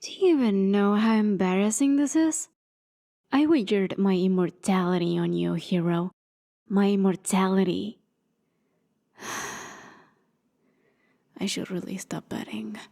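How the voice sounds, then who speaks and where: A woman's voice: mid-range voice, unhurried, vocal fry, pauses often, mellow. A woman playing an exasperated, sarcastic gambling deity who scolds in a theatrical way, in a fantasy ASMR roleplay.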